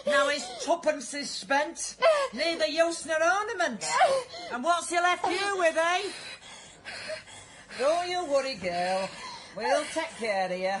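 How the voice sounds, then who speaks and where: An actress playing a bossy, mocking workhouse matron with a Northern English accent in a period radio drama. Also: female voice, high-pitched, noisy background. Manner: scolding, sneering, colloquial.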